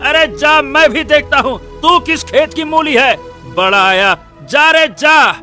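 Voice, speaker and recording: A man voicing a haughty, mocking rich village bully in a cartoon story, with a drawn-out drawl. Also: extremely high pitch, pushed and bright, soft background music, full character voice. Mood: angry, scornful. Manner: taunting, drawn-out sarcastic drawl with rustic turns. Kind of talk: animated story voice acting.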